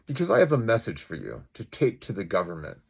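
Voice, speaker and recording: A man playing a calm, defiant citizen vigilante with deliberate, declamatory speech in an acted-out story. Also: lowish voice, creaky, husky, full character voice. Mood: cool, menacing.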